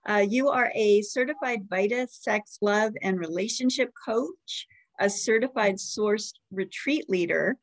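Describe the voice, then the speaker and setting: A woman's voice: mid-range voice, measured pace. An American woman in her forties hosting a podcast, interviewing in a chatty, affirming, conversational way. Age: 40s. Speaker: podcast host.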